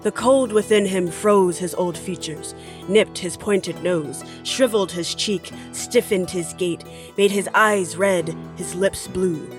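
A woman narrating a story theatrically, with emphatic, dramatic delivery. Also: fairly low pitch. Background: music.

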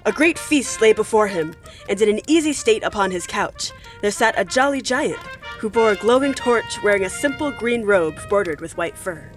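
A woman narrating an audio drama as a storyteller, with measured, expressive scripted delivery. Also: medium pitch, soft background music, natural voice. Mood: storytelling. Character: storyteller narrating a classic Christmas ghost tale.

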